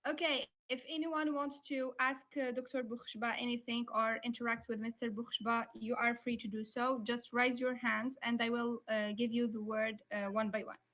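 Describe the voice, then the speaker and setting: A woman moderating an online Q&A, talking briskly with many filler sounds over a video call. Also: on the high side, flat delivery, fairly bright tone. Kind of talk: hosting an online Q&A. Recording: dull, lo-fi call audio.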